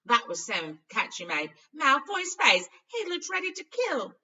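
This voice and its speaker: A woman's voice: high-pitched, huge pitch swings, a little slow, bright, forceful tone, muffled recording, pitched above the performer's own voice, full character voice. A woman voicing a brash, loyal schoolboy friend from a storybook, speaking emphatically with teasing indignation. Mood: teasing, pleased. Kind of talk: storybook character reading.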